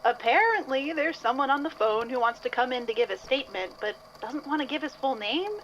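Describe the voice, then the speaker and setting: A woman's voice: sits high, lively intonation, a little fast, fairly bright tone, lo-fi sound, slight background noise. A woman playing a chatty, curious and eager male office colleague in an audio drama.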